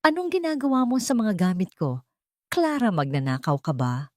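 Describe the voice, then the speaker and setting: Woman narrator as angry old mother, accusing, agitated, higher-pitched, audio drama, dry sound. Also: sing-song, normal speed, no room sound, lightly acted. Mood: furious, accusing. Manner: scolding, agitated. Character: furious elderly mother, indignant and accusing.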